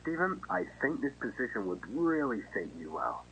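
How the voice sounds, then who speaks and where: A man's voice: medium pitch, big pitch swings, normal speed, fairly bright tone, husky, exaggerated voice, poor audio. A man playing a friendly, casual restaurant manager reassuring a job applicant in an acted phone conversation. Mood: upbeat, reassuring. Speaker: voice actor in an analog horror video. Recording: faint noise and hum.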